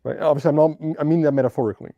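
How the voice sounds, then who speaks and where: A man's voice: a little high, racing, no echo, highs cut off. A man speaking English as a second language, who hesitates and gropes for words with many ums while discussing philosophy as a guest. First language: not English. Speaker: debate guest.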